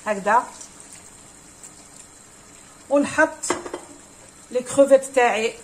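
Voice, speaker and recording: A woman's voice: a little high, a little slow, a little mellow, slight background noise, low-quality recording. Woman explaining a recipe. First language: Kabyle. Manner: mixes French and Algerian Arabic, hesitant with fillers. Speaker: cooking channel host. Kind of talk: home cooking tutorial.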